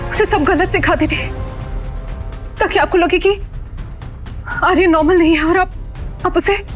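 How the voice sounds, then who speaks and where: An actress playing a corrupt, cruel female tutor in an audio drama, faltering and self-justifying, mixing English into Hindi. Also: extremely high pitch. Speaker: voice actor.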